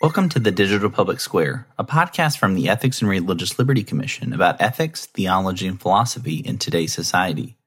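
A man's voice: low-pitched, fast, hardly any pauses, soft background music. A man hosting a podcast, reading a polished intro and then interviewing a guest. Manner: polished, steady delivery. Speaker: podcast host.

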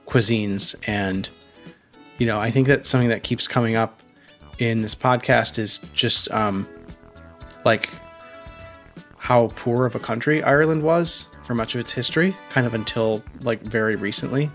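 Brief: male podcast co-host, mid-pitched, chatty and thoughtful, soft music underneath. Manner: conversational, reflective, filler-heavy. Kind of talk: casual podcast chat.

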